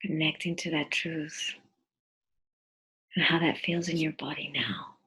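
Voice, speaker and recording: A woman leading a guided meditation in a soft, breathy, husky voice, gentle and deliberate, with pauses between phrases. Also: sits low, steady tone, low-quality recording.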